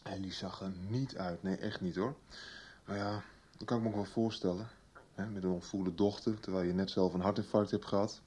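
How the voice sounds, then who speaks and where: A man's voice: deep voice, fairly even intonation, fairly quick, darkish tone, slightly rough. An actor playing a young policeman son, talking colloquially in a Dutch radio soap. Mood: eager storytelling. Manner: colloquial, chatty. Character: young policeman son recounting his case to his mother.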